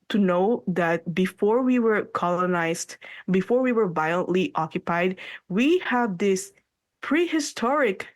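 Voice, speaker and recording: A woman whose first language is Tagalog, co-hosting a podcast in animated, thoughtful casual chat, with many fillers and restarts and slips into French. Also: medium pitch, dry recording. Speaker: podcast co-host.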